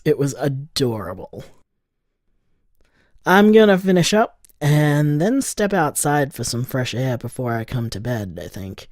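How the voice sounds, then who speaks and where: An actor playing a tender, contented bar owner in love, speaking reflectively in an audio drama voicemail. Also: male voice, fairly high pitch, no echo, studio quality. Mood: affectionate, amused. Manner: soft-hearted, reflective, conversational.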